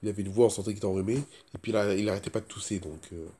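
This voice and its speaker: A man's voice: fairly low pitch, fairly even intonation, rapid-fire, mellow, slight background noise. A man chatting casually as a vlogger, stuffed up with a cold and sore throat, rambling and repeating himself. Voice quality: stuffy-nosed voice. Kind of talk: casual first-person vlog chat.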